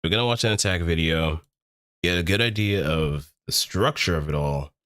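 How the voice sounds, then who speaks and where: A man streaming a casual tutorial, explaining things step by step in a deliberate, halting way with emphatic repetition. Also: low voice, a bit bright, clean tone, no room sound, studio quality.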